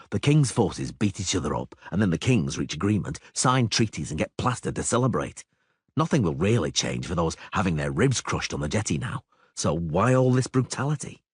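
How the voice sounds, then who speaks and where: A man voicing a calm, world-weary male monster hunter who speaks flatly and sardonically, in a husky voice. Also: lowish voice, no echo, clean studio sound. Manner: flat, unimpressed and sardonic.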